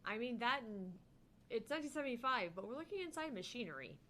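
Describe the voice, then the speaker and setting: A woman's voice: fairly high pitch, sing-song, fast talker, a bit bright, slight background noise. A woman chatting casually as co-host of a visual novel let's play, reading along and commenting.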